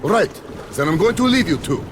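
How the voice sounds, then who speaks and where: High-voiced, blunt station boss. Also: male voice, sing-song, normal speed, noisy background. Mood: exasperated. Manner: blunt, emphatic. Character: gruff, exasperated male station boss wary of trouble. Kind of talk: video game voice acting.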